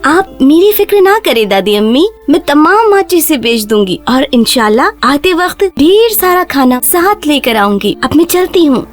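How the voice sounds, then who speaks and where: A woman's voice: high-pitched, sing-song, hardly any pauses, soft background music. A woman voicing a poor, polite eight-year-old orphan girl, pleading earnestly in a children's cartoon story. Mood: reassuring, dutiful.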